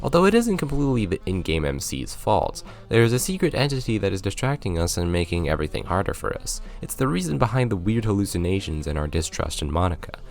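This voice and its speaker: A man's voice: low-pitched, very animated, fast, hardly any pauses, faint music behind. A man narrating a scripted video game review, with sarcastic, joking asides.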